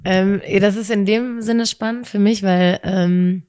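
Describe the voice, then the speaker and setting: A woman's voice: mid-range voice, steady tone, unhurried, mellow, smooth. A woman co-hosting a casual podcast conversation, hesitant and searching for her words as she answers. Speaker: podcast co-host. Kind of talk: casual conversation about relationships.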